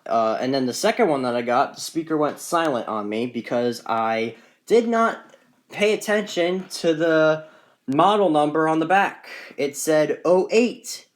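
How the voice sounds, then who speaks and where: A man casually presenting a collection in an off-the-cuff, rambling, matter-of-fact way, recorded on a handheld phone. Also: a little high. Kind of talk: casual off-the-cuff show-and-tell.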